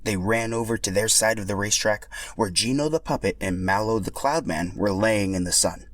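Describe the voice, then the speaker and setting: A man narrating a scripted story for a podcast in an animated, expressive way. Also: on the low side, brisk.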